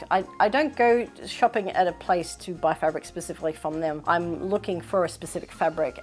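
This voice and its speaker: A woman in her thirties with a mainly Australian accent touched by British and American sounds, chatting upbeat in a sewing Q&A vlog. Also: lowish voice. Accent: Australian with British and American traces. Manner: chatty, upbeat, self-reflective. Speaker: sewing vlogger. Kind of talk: casual Q&A vlog.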